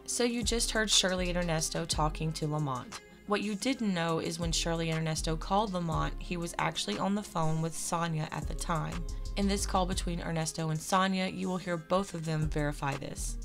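A woman's voice: on the low side, brisk, creaky, few pauses, faint music behind. A woman host giving scripted, explanatory narration to introduce recorded clips.

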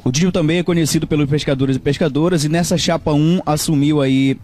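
A man's voice: a little high, fairly even intonation, fast, darkish tone, slight background noise. A man reporting and putting interview questions as a TV news reporter.

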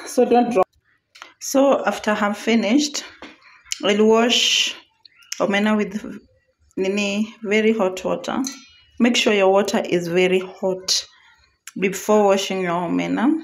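A woman with a Kenyan accent hosting a casual cooking vlog, chatty, repeating phrases and mixing in Swahili words. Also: medium pitch. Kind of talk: casual cooking tutorial chat. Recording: echoey room, clear background noise, clicks.

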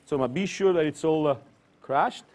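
A man's voice: fairly high pitch, a little slow, a little mellow. Italian chef, male, slightly raised voice, talks as he cooks in broken, friendly English. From Tuscany, Italy. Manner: chatty, broken English mixed with Italian interjections. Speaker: chef and cooking demonstrator. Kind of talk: live cooking demonstration. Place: kitchen demonstration set.

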